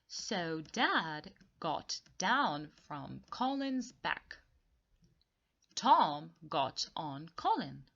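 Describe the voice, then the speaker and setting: A lower-voiced woman guides young children through a read-along story lesson. She explains deliberately and repeats key words, with highly animated pitch movement. The recording is a little dull and lo-fi. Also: gravelly. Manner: slow, deliberate explaining with repetition. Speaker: teacher reading aloud.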